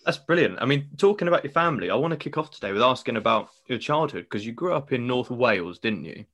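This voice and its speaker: A man's voice: mid-range voice, lively intonation, fast talker, fairly bright tone. A British man hosting a podcast interview, with a playful, teasing way of talking. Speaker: podcast host. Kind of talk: casual interview chat.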